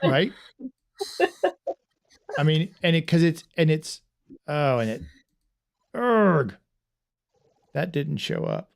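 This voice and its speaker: A man's voice: on the high side, big pitch swings, takes it slowly, gravelly, long pauses. A man hosting a casual livestream podcast, rambling and self-deprecating with lots of restarts. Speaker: podcast host.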